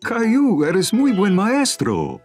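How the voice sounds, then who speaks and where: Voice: medium pitch, wildly swooping pitch, slow pace, a little mellow, faint music behind. A performer playing a friendly, easygoing parent in a children's cartoon, speaking encouragingly. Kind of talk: dubbed children's cartoon acting.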